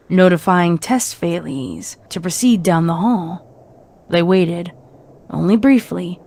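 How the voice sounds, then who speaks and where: A woman with a fairly low voice narrates a story. Her reading is measured and dramatic, clearly scripted. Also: darkish tone.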